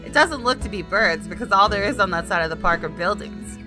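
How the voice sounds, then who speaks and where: A woman's voice: medium pitch, animated, a little fast, over music. A woman hosting a gaming video, switching between casual commentary and reading story text aloud.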